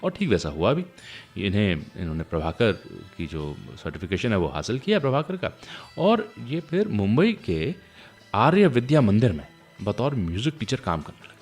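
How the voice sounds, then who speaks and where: A man's voice: on the low side, very animated, moderate pace, a little mellow, slightly rough, soft background music. A man hosting a radio show, telling stories in a chatty, conversational way and addressing listeners directly with rhetorical questions. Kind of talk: conversational radio storytelling between songs.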